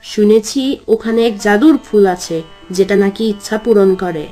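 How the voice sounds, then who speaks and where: A woman voicing a brave, kind little boy in a cartoon story, speaking earnestly and gently. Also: mid-range voice, measured pace, faint music behind, natural voice. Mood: eager.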